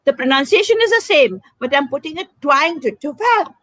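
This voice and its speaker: A woman's voice: sits high, animated, a little fast, fairly bright tone, no room sound, clean studio sound. An older woman from Karnataka with an Indian English accent, a Konkani speaker, giving emphatic advice as an educator in an interview and repeating her key points. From south India, Karnataka. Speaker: educator, guest. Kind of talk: conversational advice.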